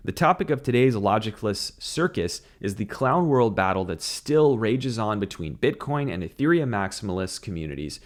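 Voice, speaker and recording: Slightly scratchy low-voiced guy ranting about crypto into a desk mic at home, opinionated, brisk. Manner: opinionated, brisk, mocking at illogical debates. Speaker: crypto show host. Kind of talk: scripted commentary. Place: small home studio with lamp. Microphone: desk broadcast mic on arm.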